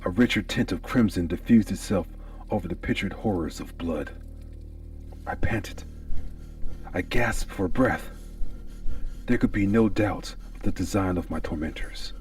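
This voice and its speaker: A man's voice: low voice, measured pace, long pauses, a little mellow, recorded from a distance, soft background music. A man giving a theatrical, anguished and intense first-person reading of a gothic horror story.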